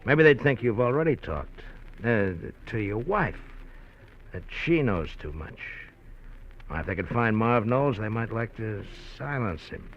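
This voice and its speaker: An actor playing a menacing, smooth-talking gangster who mocks and threatens with chuckles, in a 1950s radio drama. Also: male voice, on the low side, very animated, unhurried. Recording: dull lo-fi 1950s radio recording with faint hiss.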